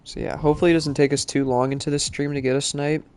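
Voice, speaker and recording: A man's voice: on the high side, little pitch movement, fast, a little mellow. A male streamer chatting casually while playing, sounding congested from allergies and rambling with lots of fillers. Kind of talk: live-stream gaming chat.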